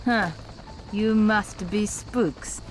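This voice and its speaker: A woman's voice: medium pitch, drawn-out words, slight background noise. A woman playing a tough, jaded, sardonic local girlfriend of a gambler in a video game. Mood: suspicious, dismissive. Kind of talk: video game cutscene acting.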